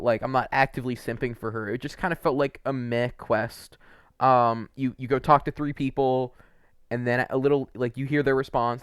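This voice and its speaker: A man's voice: mid-range voice, dry recording, clean studio sound. A young adult man giving casual, opinionated video game commentary in an informal, slangy, rambling way.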